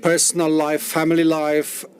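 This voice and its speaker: A man's voice: on the high side, completely flat delivery, normal speed, smooth. A man doing simultaneous interpretation at a conference, often restarting and rephrasing as he renders the speaker's words.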